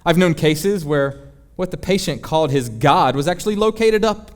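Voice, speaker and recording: A man voicing a senior demon who instructs his apprentice in formal, deliberate, scheming words, as a dramatic book reading. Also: on the high side, faint music behind, close to an everyday voice. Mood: disdainful.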